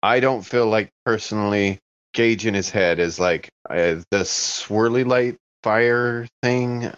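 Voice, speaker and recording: Male roleplayer, lowish voice, casual improvised game talk, dry and slightly dull recording. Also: slow, a bit bright, smooth, no echo. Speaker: tabletop roleplaying player.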